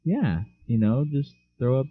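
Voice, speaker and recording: A man's voice: medium pitch, big pitch swings, a little slow, no echo. A teenage man co-hosting a casual podcast, rambling with lots of fillers. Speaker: podcast co-host. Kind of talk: casual chat. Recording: dull lo-fi mic.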